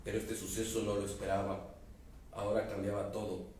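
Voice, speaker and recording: A man's voice: on the low side, completely flat delivery, brisk, darkish tone. A man with an Ecuadorian accent, an author presenting his novel at an embassy event and reading a passage aloud with growing dramatic emphasis. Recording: echoey room, distant mic, faint noise.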